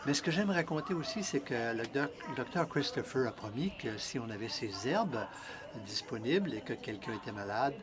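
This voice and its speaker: A man introducing a speaker and then interpreting her talk, relaying it in the first person in a measured, even way. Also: medium pitch, background noise. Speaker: interpreter and emcee. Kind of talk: live interpretation of a seminar talk.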